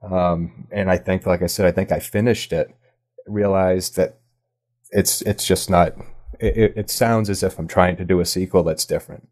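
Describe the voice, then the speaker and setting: A man's voice: low-pitched, fairly even intonation, moderate pace, darkish tone. A man chatting casually as a podcast guest, with a hesitant delivery that often restarts and corrects itself. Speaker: podcast guest, audio drama writer and producer. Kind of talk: casual interview chat.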